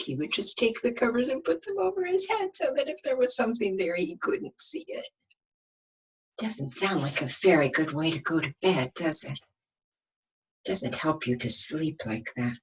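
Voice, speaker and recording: A woman reading a picture book aloud to young children like a teacher, expressive, often asking the listeners questions. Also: low-pitched, breathy edge, rough recording. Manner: asks the listeners questions, dramatic emphasis.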